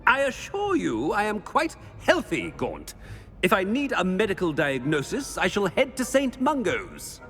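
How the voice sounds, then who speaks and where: A haughty middle-aged British wizard headmaster in a video game. His voice sits a little high and leaps dramatically up and down. He is pompous and curt, with faint music behind him. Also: wildly swooping pitch, unhurried, a bit bright, heavily acted. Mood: imperious. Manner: pompous, brusque, theatrical. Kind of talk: theatrical video game acting.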